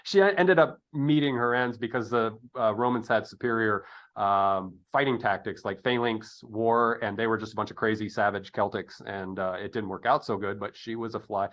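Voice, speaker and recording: A man hosting an interview in casual conversation, digressive and halting, often correcting himself with a jocular streak. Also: on the low side, a bit bright. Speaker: podcast interview host. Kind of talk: casual interview chat with rambling history asides.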